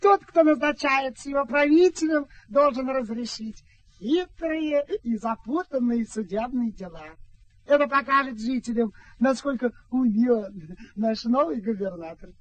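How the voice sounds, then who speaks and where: A duke's crafty steward in a radio drama. The voice is very high and unhurried, with formal, deferential phrasing. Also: female voice, dull audio. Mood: ceremonious. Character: sly, ceremonious male steward of a duke. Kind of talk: radio play acting.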